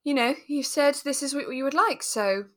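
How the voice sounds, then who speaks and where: A woman co-hosting a podcast in relaxed casual discussion, explaining things in a thoughtful, tentative way. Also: sits high, moderate pace. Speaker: podcast co-host.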